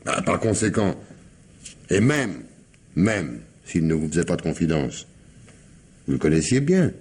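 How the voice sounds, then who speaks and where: Deep, husky, probing police commissioner. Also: male voice, big pitch swings, measured pace, darkish tone, full character voice. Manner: hesitant, muttering restarts, then abrupt pressing questions. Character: probing, insistent male police commissioner, gruffly courteous. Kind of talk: French radio mystery play acting.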